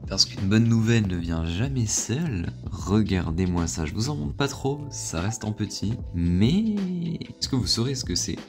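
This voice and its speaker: A man's voice: on the low side, expressive, normal speed, few pauses, darkish tone, soft background music. A young man vlogging casually about his work week, chatty and loose with fillers and swearing, nursing a head cold. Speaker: vlogger, entrepreneur. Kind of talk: casual vlog chat.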